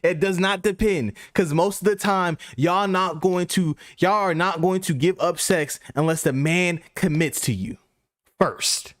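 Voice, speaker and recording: A man's voice: high-pitched, unhurried, fairly bright tone, no echo. A man with a slightly raised voice talks bluntly and lectures in slang, as if scolding. The sound is dry and close, with no room around him. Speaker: reaction commentator. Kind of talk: commentary over interview clips.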